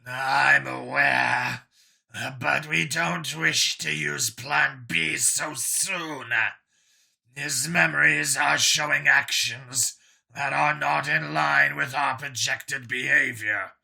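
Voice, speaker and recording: A man voicing an imperious, slow-spoken dragon overlord. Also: mid-range voice, steady tone, creaky, pushed and bright, exaggerated voice. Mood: angry, impatient. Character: sickly, irate dragon overlord barking orders. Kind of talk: audiobook character voice.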